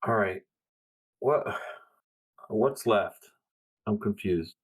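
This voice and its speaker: A man's voice: fairly low pitch, very slow, husky. A man talking casually as a player in a tabletop fantasy game.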